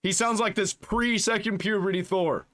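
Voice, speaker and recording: Casting note: male reaction host, mocking and profane, a bit high-pitched, dips into a put-on low voice. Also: moderate pace, fairly bright tone. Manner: mocking, swings between a squeaky high register and a put-on deep voice; swears freely, reads search results aloud. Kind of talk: casual reaction commentary.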